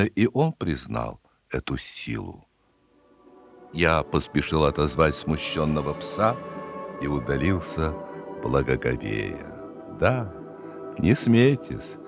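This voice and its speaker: A man reading a literary short story as a dramatic narration, expressive and with deliberate pauses. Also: low voice. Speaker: audiobook reader. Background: background music.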